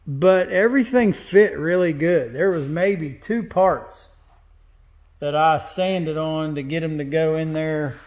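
A man's voice: fairly high pitch, slow pace, a little mellow, muffled recording. A man welding and fabricating, walking through his work in a shop in a plain-spoken, casual way as he points things out. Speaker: welder and fabricator. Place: large indoor metal fabrication shop.

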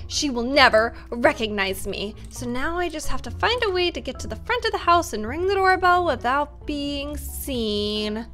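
A woman hosting a game roleplay, talking in a dramatic, whiny, animated way into a desk microphone. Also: sits high, moderate pace, few pauses. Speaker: roleplay gamer host. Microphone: desk microphone with webcam. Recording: music playing behind.

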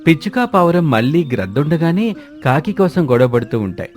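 A man's voice: fairly high pitch, sing-song, brisk, a little mellow. A man narrating a children's cartoon story as a scripted storyteller. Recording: music bed under the voice.

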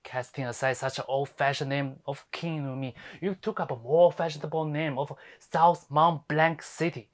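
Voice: medium pitch, close to an everyday voice. An actor playing a sardonic, fiery lawyer in a theatrical monologue, scolding with scathing rhetorical questions. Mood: bitter mockery. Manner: scathing, rhetorical, swinging from hushed to ranting. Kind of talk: theatrical dramatic monologue performance.

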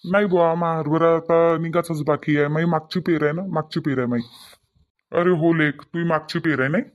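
A practical cartoon farmer, played by a man, talks in a high-pitched male voice. His Varhadi Marathi accent is rustic, and his manner is folksy and conversational, often repeating himself. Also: fairly even intonation, normal speed, natural voice. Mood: neighbourly. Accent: Varhadi (Vidarbha) Marathi. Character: friendly village farmer, practical family man. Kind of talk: cartoon village dialogue.

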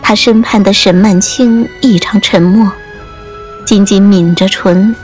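A woman's voice: mid-range voice, fairly even intonation, unhurried, darkish tone. A woman reading scripted audiobook narration as the storyteller. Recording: music under the voice.

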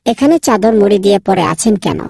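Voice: mid-range voice, animated, fairly quick, vocal fry, a little mellow, husky, dry recording, studio quality. A performer voicing a kind, bold young boy in a children's cartoon, insistent and polite to elders. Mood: curious, bold. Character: kind, bold young boy Nilu, bossy with his friend. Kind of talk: children's moral cartoon dubbing.

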